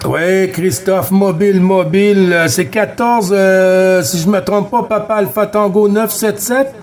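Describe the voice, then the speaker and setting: A man's voice: sits high, steady tone, a little slow, noisy background. A man with a Quebec French accent working a CB radio contact round, courteous, repeating words and callsigns. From Quebec, Canada. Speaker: amateur CB radio operator running a contact round.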